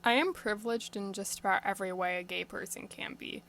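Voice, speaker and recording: A woman's voice: medium pitch, fairly quick, vocal fry, fairly bright tone. A teenage girl reading her own essay aloud in an earnest, direct way. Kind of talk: scripted personal essay reading.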